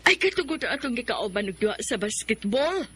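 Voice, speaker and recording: A woman's voice: on the high side, very animated, fairly quick, fairly bright tone, a little hiss or hum. A woman playing a young female friend who teases and gossips in a radio drama. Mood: teasing. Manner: teasing, gossipy.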